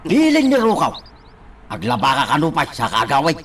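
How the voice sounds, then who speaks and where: A man's voice: a little high, huge pitch swings, moderate pace, background noise. A man playing a bossy, nagging character ordering chores in a comedic radio drama, pushy and scolding.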